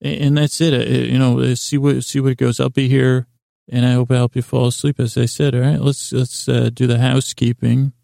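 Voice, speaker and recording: A man hosting a bedtime podcast, rambling in a meandering, hesitant way with halting, silly, whimsical asides. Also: mid-range voice, level tone, vocal fry, warm tone, no echo, studio quality. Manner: silly, whimsical, soothing intent. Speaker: podcast host.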